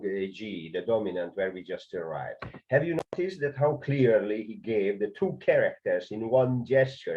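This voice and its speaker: A man's voice: lowish voice, mellow. Hungarian-accented man teaching conducting. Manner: hesitant, many filler sounds and restarts. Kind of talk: video lesson on conducting. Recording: dull lo-fi sound.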